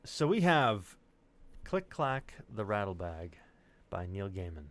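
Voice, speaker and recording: A man's voice: on the low side, very animated, takes it slowly. A man reading stories aloud on a stream, with casual asides. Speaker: reader on a storytelling stream.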